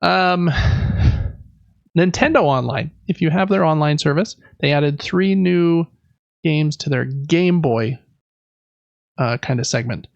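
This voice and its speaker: A man's voice: fairly high pitch, slow pace, vocal fry, long pauses, no room sound, muffled recording. A man hosting a casual gaming podcast, reading through news lists in a chatty, informal way with personal asides. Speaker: podcast host.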